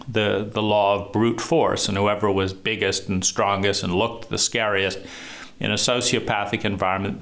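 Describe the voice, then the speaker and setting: A man with a deep voice giving a reflective monologue, talking slowly and deliberately with frequent pauses and fillers. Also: fairly bright tone. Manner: slow, deliberate, pausing often with fillers. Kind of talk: reflective monologue on trauma and psychology.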